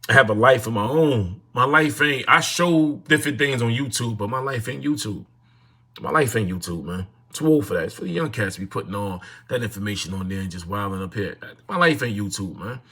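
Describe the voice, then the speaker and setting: Husky bright-voiced New man, preachy. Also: mid-range voice. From North New Jersey, USA. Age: 40s. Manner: repetitive, preachy, greets chat viewers. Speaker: livestream host. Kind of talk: casual livestream monologue. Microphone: phone.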